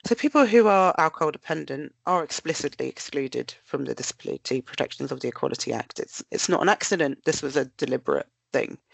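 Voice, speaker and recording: Nasal, low-creaking woman with a cold. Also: expressive, fast, few pauses, no echo. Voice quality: nasal, bunged-up. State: blocked with a cold. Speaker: research director presenting. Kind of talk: prepared webinar presentation.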